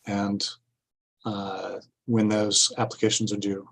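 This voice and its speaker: Low, flat-toned man presenting. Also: slow, vocal fry. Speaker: city staff presenter at a grant orientation. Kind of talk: informational public meeting presentation.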